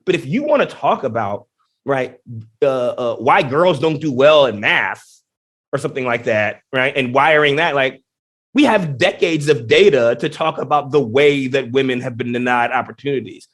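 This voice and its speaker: A man, a genetics professor, speaking in a podcast interview with emphatic, animated explanations full of rhetorical questions. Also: mid-range voice, normal speed. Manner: energetic, emphatic, many restarts and rhetorical questions.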